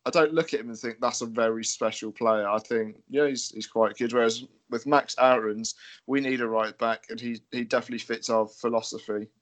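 A young man co-hosting a podcast, firing off opinions in quick, lively bursts. His voice sits at an ordinary middle pitch. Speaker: podcast co-host. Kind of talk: casual chat.

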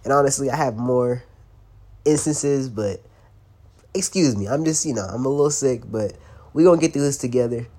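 A man's voice: medium pitch, normal speed, long pauses, dark tone. Stuffy-voiced young man hosting a podcast. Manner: loose and slangy, swearing, laughing now and then. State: congested, stuffy from a cold. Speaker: podcast host. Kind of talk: casual solo podcast talk.

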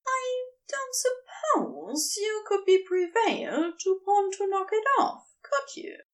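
A woman's voice: extremely high pitch, lively intonation, slow, far from the mic, voice pushed up, heavily acted. A woman voicing a prim, resolute male immortal in a storybook reading, formal and polite yet theatrically affronted. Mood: politely resolute.

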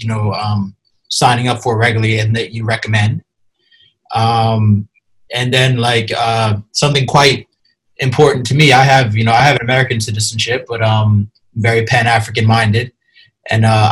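A man with a husky, slightly rough voice interviews a guest. His questions are long and hesitant, padded with ums, likes and you-knows. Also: medium pitch, a bit bright, rough recording. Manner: rambling, hesitant questions with many fillers.

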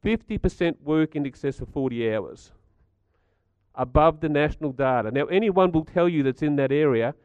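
Croaky man giving a talk. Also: fairly high pitch, expressive, moderate pace, no room sound, lo-fi sound. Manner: chatty, stats-driven, asks the audience questions. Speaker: speaker at a community gathering. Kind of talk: talk to a live audience.

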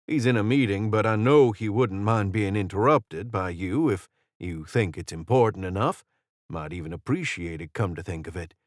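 A man's voice: on the low side, normal speed, dry recording, studio quality, natural voice. A man voicing a genial, courteous fey assistant with a Southern drawl in an audiobook story. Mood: chatty, polite. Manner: courteous, chatty, folksy.

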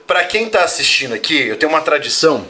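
A man's voice: fairly high pitch, very animated, fast, bright, forceful tone. A man hosting a podcast in a friendly way, reading out viewers' greetings and chatting with his guest. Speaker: podcast host. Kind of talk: casual chat.

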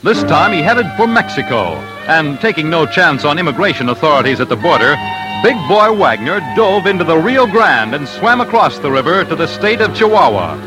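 A man's voice: on the high side, very animated, normal speed, poor audio. A man delivering dramatic scripted narration for an old-time radio documentary. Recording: old radio broadcast with music.

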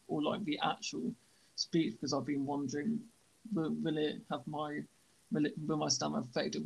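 Husky, gravelly man hosting a podcast. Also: a little high, a bit bright, rough recording. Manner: stammers, halting and reflective. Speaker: podcast host. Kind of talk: podcast conversation.

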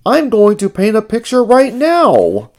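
A reader voicing an excitable, earnest childlike male puppet character in a storybook reading, with an exclamatory delivery. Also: mid-range voice, unhurried, voice pushed up. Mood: excited.

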